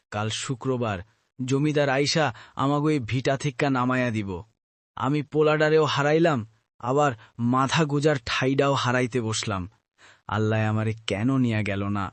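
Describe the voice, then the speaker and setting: A man's voice: mid-range voice, a bit bright, no echo, lightly acted. A man playing a grieving, despairing poor village father in a cartoon, mournful, with a rural Bangladeshi Bengali dialect. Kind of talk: cartoon voice acting.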